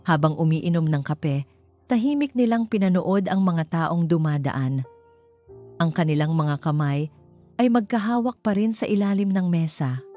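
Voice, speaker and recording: Woman narrating in a low, mellow voice. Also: expressive, moderate pace, soft background music. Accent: Filipino. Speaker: story narrator. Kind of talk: scripted narration of a romance story.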